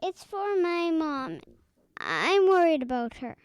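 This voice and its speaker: A woman's voice: very high voice, big pitch swings, slow pace, dry recording, heavily acted. A female performer playing an earnest, insistent little girl in an audio drama, matter-of-fact and a bit bossy. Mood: pleading.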